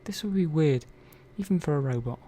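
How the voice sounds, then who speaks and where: A man's voice: on the high side, expressive, brisk, dark tone, close to an everyday voice. A man playing a chatty, caring robot technician in an ASMR roleplay, talking hesitantly and reassuringly to one listener. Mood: focused, concerned. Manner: hesitant, rambling, reassuring, murmured asides. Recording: faint background noise and ambience.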